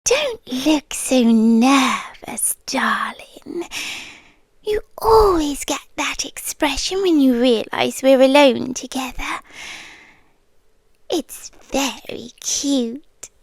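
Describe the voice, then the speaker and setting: Slow, whispery British monster girlfriend, acted by a woman. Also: sits high, animated, dry recording, heavily acted. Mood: sweetly possessive. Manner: breathy half-whispered, intimate, slow and deliberate. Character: possessive centuries-old female spider creature, doting yet menacing girlfriend. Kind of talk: ASMR roleplay. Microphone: close dry microphone.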